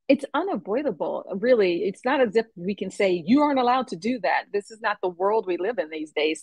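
A woman in her fifties hosting an online interview show, upbeat and expressive, with easy laughter. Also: on the low side, no room sound. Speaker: talk show host. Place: home room.

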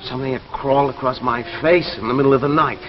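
An American actor in his forties playing an anxious, determined father, urgent and halting, in 1950s live television drama style. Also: medium pitch, steady tone, natural voice. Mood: urgent, pleading. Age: 40s. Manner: urgent, halting, insistent questioning. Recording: dull vintage TV soundtrack, music behind.

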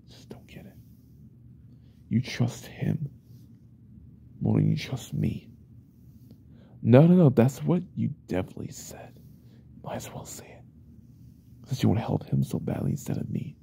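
A man acts out an unhinged yandere boyfriend in an ASMR roleplay. His voice is very dark and heavily creaky, often sinking to a breathy whisper. He is intense, possessive and controlling, with lively swings in pitch. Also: a little slow, pauses often. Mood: possessive, menacing.

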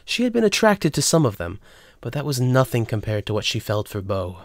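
A man's voice: medium pitch, wildly swooping pitch, fairly quick, no room sound, studio quality. A man narrating a scripted audio drama as its storyteller.

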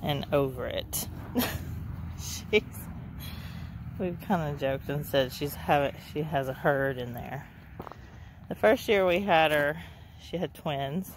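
A goat breeder gives a casual, chatty farm tour, laughing softly and talking to her goats as she walks around an outdoor pen. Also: low voice, takes it slowly, pauses often, noisy background. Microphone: handheld camera.

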